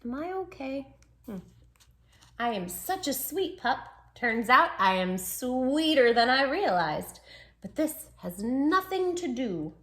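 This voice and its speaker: A woman's voice: sits high, sing-song, slow pace, pushed and bright, exaggerated voice. A woman voicing a sweet, plucky little male dachshund who tells his own storybook tale with earnest, dramatic emphasis. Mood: earnest, wondering. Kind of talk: children's storybook read-aloud.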